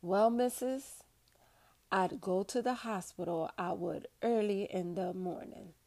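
A woman reading in the role of a humble, formerly enslaved woman who recounts wartime nursing in a slow, plainspoken, folksy way. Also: medium pitch, dark tone. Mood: solemn recollection.

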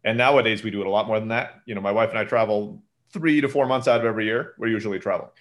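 A man in his thirties, a podcast guest, chatting casually over a remote call mic and laughing often. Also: lowish voice. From Turkey. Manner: fast, animated, laughs often. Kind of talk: casual interview chat.

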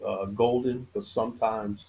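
A middle-aged man hosting his own podcast, giving a casual monologue with a preachy tone, repeating key lines for emphasis, often halting and restarting. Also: on the low side, fairly even intonation, dark tone, slight background noise, low-quality recording. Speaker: podcast host.

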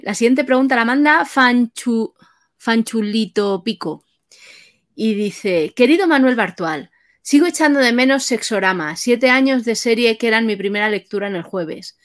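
A woman's voice: on the high side, lively intonation, moderate pace. Casual woman hosting an interview. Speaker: host reading audience questions. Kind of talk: casual interview, reading out questions.

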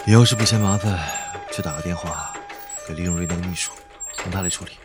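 Man playing deep-voiced, curt, aloof rich man. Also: fast, very noisy, natural voice. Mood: indifferent. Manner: curt, dry, unbothered. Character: cool, aloof wealthy man, curt and commanding. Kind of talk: modern audio drama acting.

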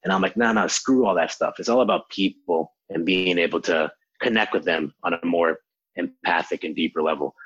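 A man, a language teacher, answering interview questions in an animated way and acting out quotes. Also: low-pitched, brisk, slightly rough, dull audio. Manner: animated explainer, acts out quotes. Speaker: language teacher, podcast guest.

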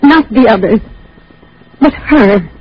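A woman's voice: on the high side, expressive, slow, husky, full character voice. An actress playing a timid, devoted, anxious woman in 1940s radio style, speaking deferentially and earnestly. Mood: hurt, timid. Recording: muffled, faintly noisy vintage radio.

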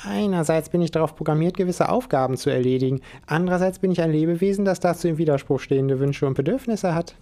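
A chipped talking squirrel from a storybook, reasoning through everything in a calm, level way, as a man puts it on. He sits noticeably higher than the plain narration, with a mellow tone underneath. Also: fast, hardly any pauses, natural voice. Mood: matter-of-fact. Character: talking gray squirrel with a nanochip, calm and matter-of-fact.

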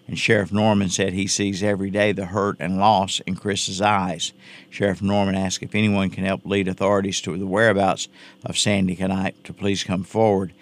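A man delivering scripted local news as a radio reporter. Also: deep voice.

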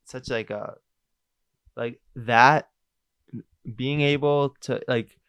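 A young man co-hosting a podcast in relaxed, hesitant casual chat. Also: medium pitch, very slow, clean tone, dry recording. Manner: relaxed, hesitant, many fillers. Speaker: podcast co-host.

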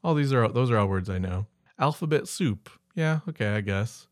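A man's voice: on the low side, lively intonation, normal speed, no echo, studio quality. A man co-hosting a podcast in casual, humorous chat, reading out words and rambling into offhand asides. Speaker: podcast co-host.

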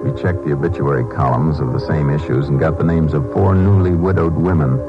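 An actor playing a calm, polite Texas Ranger in a 1950s radio crime drama, matter-of-fact in dialogue and narration. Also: male voice, very deep voice, brisk. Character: calm, steady male Texas Ranger lawman narrating his case. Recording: dull old-time radio recording, music behind.

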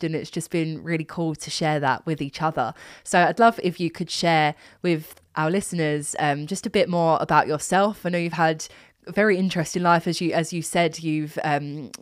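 Low-pitched, smooth woman podcast host. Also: fairly even intonation, moderate pace, dry recording, clean studio sound. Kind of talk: warm conversational interview.

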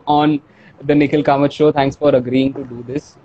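A man's voice: medium pitch, little pitch movement, normal speed, warm tone, muffled recording. A young man with an Indian accent hosting a casual live online chat, switching between English and Hindi with a self-deprecating manner. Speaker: host. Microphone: earphones removed, online live call.

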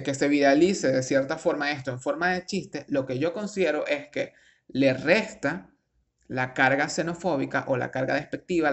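A young Venezuelan man with a Venezuelan accent, casually commenting on a news article, rambling with restarts and slang. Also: on the high side. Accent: Venezuelan Spanish.

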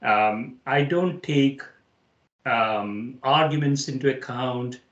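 A man from India hosting an interview in a casual, conversational way, reflective and halting as he talks. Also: mid-range voice, fairly bright tone, echoey room, highs cut off. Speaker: interview host.

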